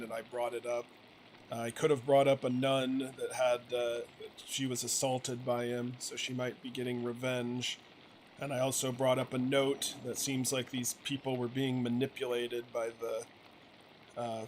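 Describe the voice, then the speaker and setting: A man's voice: mid-range voice, a little hiss or hum, rough recording. A man hosting a gaming video, giving a casual, hesitant story recap and thinking aloud as he goes. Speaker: gaming channel host. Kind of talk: casual, rambling story recap over gameplay.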